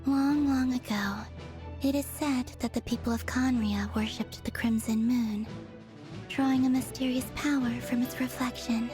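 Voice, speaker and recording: An actress playing a calm, learned woman in a video game, recounting lore in a deliberate, formal way. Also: sits high. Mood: solemn. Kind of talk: video game character acting. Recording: background music under the voice.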